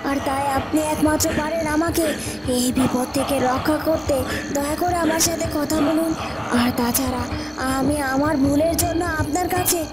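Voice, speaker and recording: Over loud music, a woman plays a high-voiced cartoon witch. She frets and questions herself, then begs like an anxious disciple before her master, in melodramatic storybook fashion. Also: normal speed, close to an everyday voice. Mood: pleading. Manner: pleading, fretful, asking herself questions. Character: pleading, anxious old witch, disciple of a tantric. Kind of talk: melodramatic Bengali cartoon voice acting.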